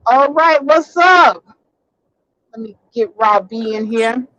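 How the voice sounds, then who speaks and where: A woman hosting a casual live stream from her car, talking bluntly and swearing freely, getting a little hoarse. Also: fairly high pitch, expressive, slow, pushed and bright, no room sound, muffled recording. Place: in a vehicle, driving.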